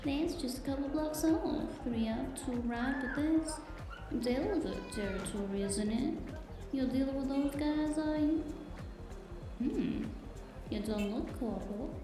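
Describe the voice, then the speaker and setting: A woman's voice: sits high, unhurried. A woman playing a playful, coy, curious market vendor who teases and flirts in a cyberpunk audio drama. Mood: curious, teasing. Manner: coy, teasing, lilting. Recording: echoey, distant mic, music behind.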